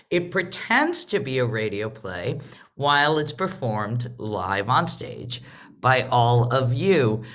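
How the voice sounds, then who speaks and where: A woman's voice: low-pitched, fairly bright tone. A woman hosting a live panel discussion, speaking in a casual, conversational style. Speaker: panel host of a theater livestream.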